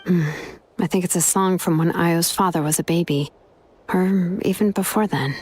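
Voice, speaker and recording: A woman playing a composed, serious young female leader in a game, speaking in a measured, gentle, explanatory way. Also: lowish voice, fairly even intonation, a little hiss or hum, lightly acted. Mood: gentle, explaining. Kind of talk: English game dub acting.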